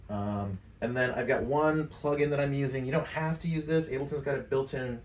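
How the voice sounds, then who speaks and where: A middle-aged man giving a casual software walkthrough as a tutorial presenter, speaking indoors at a desk some way from the mic. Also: mid-range voice, brisk. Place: indoor room at a desk with gear. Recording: roomy, distant mic, clear background noise.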